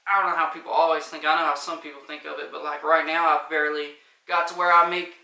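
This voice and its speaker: Light-voiced guy rambling in an interview, flat delivery, echoey room. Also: fairly high pitch, fast talker, creaky, recorded from a distance. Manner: loose, rambling, many fillers. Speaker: rapper, interview guest. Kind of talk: casual interview talk.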